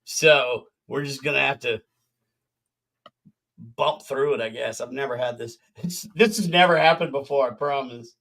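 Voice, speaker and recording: A man's voice: medium pitch, normal speed, fairly bright tone. A man hosting a casual podcast over a video call, joking and rambling with his guests. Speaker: podcast host. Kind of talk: casual chat on a livestream.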